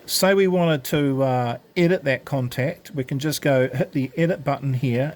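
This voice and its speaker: Man, mid-pitched voice, informal tutorial, casual, hesitant, step-by-step explaining. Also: darkish tone. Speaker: presenter of an amateur radio gadget demo. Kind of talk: informal product demonstration, unscripted tutorial.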